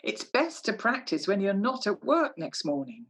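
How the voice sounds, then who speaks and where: A woman playing a prim, concerned mother in a radio play, speaking in an earnest, measured way. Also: mid-range voice, very animated, husky. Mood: disapproving. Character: prim, concerned mother, disapproving but kindly. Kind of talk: amateur radio play acting.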